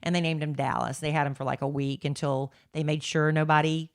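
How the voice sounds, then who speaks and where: A woman's voice: low-pitched, flat delivery, brisk. A middle-aged woman, co-hosting a podcast in a chatty, rambling way. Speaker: podcast co-host. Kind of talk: casual chat.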